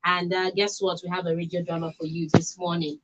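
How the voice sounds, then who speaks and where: A woman's voice: on the low side, flat delivery, brisk, a bit bright, low-quality recording. A woman with a Nigerian accent hosting a live radio talk show. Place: radio studio.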